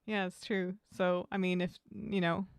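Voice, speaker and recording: A woman hosting a casual livestream interview, chatty and animated, with frequent laughs and fillers. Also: on the low side, unhurried, clear, resonant tone, no echo, clean studio sound.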